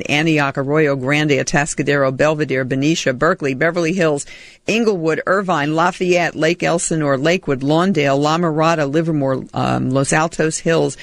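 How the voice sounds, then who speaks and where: A woman hosting a talk radio show in a studio, brisk and animated, with sudden jumps in pitch. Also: no echo. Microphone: studio microphone.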